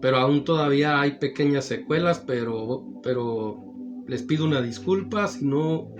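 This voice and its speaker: A man hosting a gospel program and preaching, slightly hoarse after the flu, repeating his greetings to his brothers and friends. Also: mid-range voice, lo-fi sound. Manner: repetitive addresses, drawn-out phrases. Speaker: evangelical preacher and program host. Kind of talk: slow, warm-toned radio-style greeting and preaching. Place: studio in Pomona, California. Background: background music.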